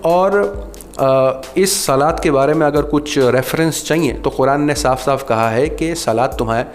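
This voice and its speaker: A man with an Indian accent hosting an explanatory talk to viewers, speaking earnestly in measured phrases. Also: mid-range voice, soft background music. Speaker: video host explaining and commenting. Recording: clearly noisy background ambience.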